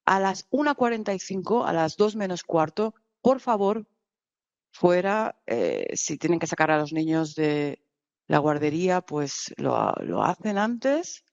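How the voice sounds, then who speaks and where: A woman giving live conference interpretation, halting at times with restarts and bursts of speed. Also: fairly low pitch, no room sound, lo-fi sound. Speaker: simultaneous interpreter.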